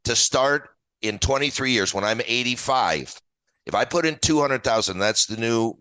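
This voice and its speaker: A man's voice: mid-range voice, expressive, normal speed, bright, forceful tone, no echo, low-quality recording. An American man in his early sixties explaining things as an interview guest in an emphatic, plain-spoken, folksy way. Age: early 60s. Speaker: guest retirement expert and author.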